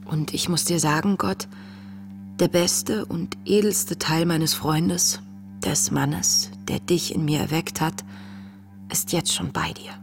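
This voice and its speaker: An actress playing an intense, devout young woman diarist in a radio play, speaking in a hushed, introspective, tender and grieving way. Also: low-pitched, creaky. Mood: solemn, shaken. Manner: hushed, introspective, often near a whisper. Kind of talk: intimate radio play monologue, diary reading.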